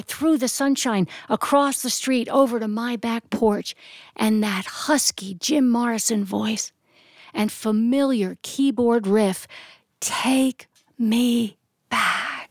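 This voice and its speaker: A female storyteller delivers a polished live narrative. Her phrasing is deliberate and descriptive, with expressive emphasis and dramatic pauses. The sound is dry, with very little room echo. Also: fairly high pitch.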